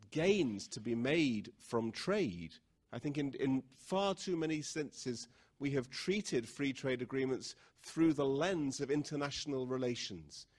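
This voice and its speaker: A man with a mid-range voice argues his side as a panelist, mixing firm points with wry humor. He speaks into a table microphone in a lecture hall. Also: mid-range voice. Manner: wry, argumentative, with jokes. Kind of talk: panel discussion talk.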